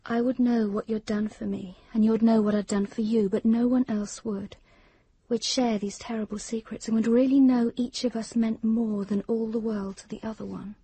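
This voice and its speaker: An actress in a radio drama playing an intense, obsessive young woman lover, earnest and solemn, with a soft breathy voice. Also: fairly high pitch, fairly even intonation, normal speed, no echo. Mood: hushed, intense.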